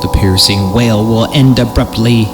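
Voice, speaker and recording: A man's voice: lowish voice, measured pace, noisy background. A man hosting a horror story podcast, narrating theatrically and breaking off for joking asides when he stumbles. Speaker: podcast host reading horror stories.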